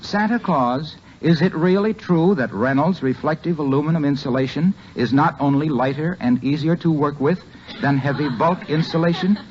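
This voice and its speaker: A man playing a jolly, high-pitched radio salesman. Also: moderate pace, lightly acted. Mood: jolly, boastful. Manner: jolly sales-pitch delivery, chuckling boastfully. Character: cheerful, self-satisfied salesman pal boasting about playing Santa. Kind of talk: 1950s radio comedy acting. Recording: noisy muffled 1950s radio recording.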